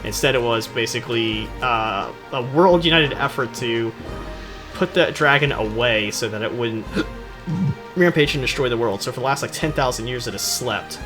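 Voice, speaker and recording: A man's voice: mid-range voice, normal speed, over music. A man giving rambling, irreverent, slangy commentary on game cutscenes, with a sarcastic streak. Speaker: video host commentator. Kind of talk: rambling joking commentary over game cutscenes, acting out dialogue in skit voices.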